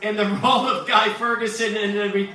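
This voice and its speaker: A man's voice: high voice, flat delivery, normal speed, bright, forceful tone. A man hosting a live stage show, introducing the cast in an informal, rambling way. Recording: very echoey noisy hall, distant mic.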